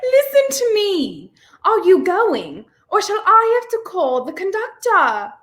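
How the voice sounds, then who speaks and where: A woman's voice: extremely high pitch, huge pitch swings, slow, fairly bright tone, heavily acted. A young woman acting a prim, haughty young lady in a 1930s-style radio play. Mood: indignant. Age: 20s.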